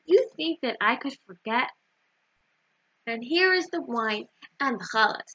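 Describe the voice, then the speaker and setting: A woman voicing an earnest, forgetful young boy in a children's story, eager and expressive. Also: a little high, unhurried, exaggerated voice. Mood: excited. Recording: dull, roomy lo-fi recording.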